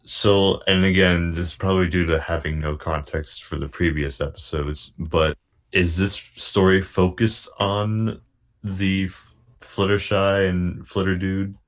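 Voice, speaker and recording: A man in a casual group critique, thinking aloud in a halting way as a commentator. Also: deep voice, steady tone. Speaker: panel commentator.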